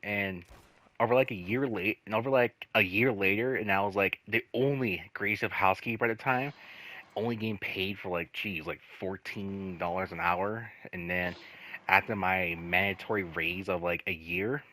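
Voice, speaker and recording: A man telling casual stories in a rambling, halting way, swearing freely and leaning on repeated filler phrases. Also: low voice, steady tone, bright, forceful tone, rough recording. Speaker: host telling personal work stories. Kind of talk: casual storytelling chat.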